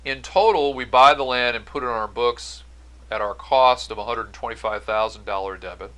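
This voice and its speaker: A man's voice: medium pitch, moderate pace, fairly bright tone. A man giving an explanatory accounting-style lecture as an instructor, going step by step and stressing key phrases. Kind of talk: explanatory lecture over on-screen notes.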